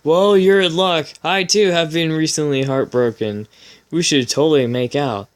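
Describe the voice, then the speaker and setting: A man's voice: fairly high pitch, moderate pace, smooth, voice pushed up, lightly acted. A man voicing a vain, boastful winged unicorn hero with melodramatic self-seriousness, in a story reading. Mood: smooth, confident.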